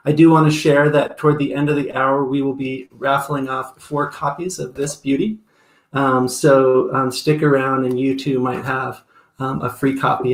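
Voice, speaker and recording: Male event host, sincere and thankful, mid-pitched, slight room echo on a video call. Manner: earnest, grateful, with frequent ums and uhs. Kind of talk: opening remarks on a video call.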